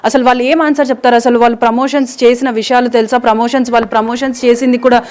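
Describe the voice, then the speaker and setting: High, rapid-talking woman field reporter. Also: level tone, darkish tone. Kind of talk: live on-location reporting.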